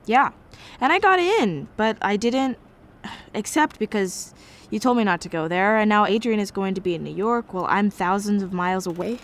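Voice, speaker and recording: A woman's voice: mid-range voice, lively intonation, normal speed, close to an everyday voice. Hurt, lovesick teenage granddaughter played by a woman. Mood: wistful, frustrated. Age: young adult. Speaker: voice actor in audio drama. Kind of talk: audio drama acting.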